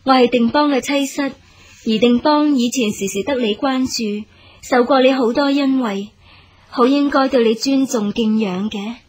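An actress playing a considerate official's wife in a radio drama, speaking polite, refined Hong Kong Cantonese. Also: female voice, fairly high pitch, measured pace, a little mellow, slightly rough. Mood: gracious, polite.

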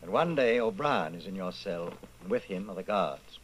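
An actor playing a solemn narrator in an old-time radio drama, telling the story theatrically. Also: male voice, low voice, lively intonation, moderate pace, a little hiss or hum, rough recording. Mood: solemn, ominous. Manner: theatrical, second-person storytelling. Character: solemn male radio drama narrator addressing listener as protagonist.

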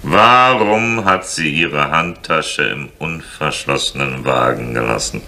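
Very deep, creaky detective. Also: male voice, slow pace, pushed and bright, heavily acted. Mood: matter-of-fact. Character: matter-of-fact male police detective. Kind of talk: 1970s German radio crime drama acting. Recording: noisy 1970s radio recording.

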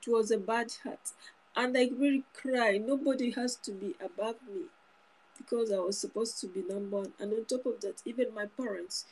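A woman's voice: a little high, moderate pace, darkish tone, husky, low-quality recording. A woman in her thirties giving an informal, earnest sermon to her phone camera in a small room, often repeating and restarting phrases. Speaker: preacher. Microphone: phone camera close up.

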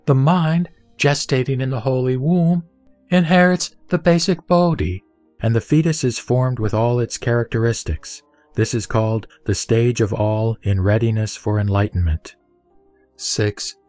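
A man reading Buddhist scripture as scripted narration, in measured, deliberate short phrases. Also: fairly low pitch, a little mellow, no echo. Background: background music.

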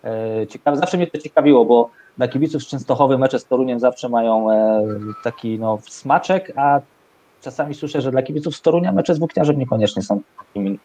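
Very mellow young male host. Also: medium pitch, fairly quick. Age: young adult. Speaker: host of a sports talk show. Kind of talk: casual sports discussion. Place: indoor room at home, video call. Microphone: video call microphone.

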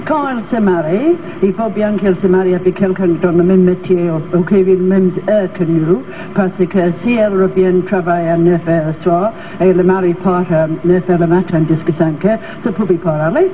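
A woman in her sixties, a native English speaker with an Irish accent, answering a TV interview in halting speech with many fillers, on a studio stage. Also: lowish voice. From Ireland. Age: 60s. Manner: hesitant, many pauses and fillers. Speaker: dance troupe director, guest. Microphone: handheld mic held by host. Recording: tinny, noisy old TV broadcast.

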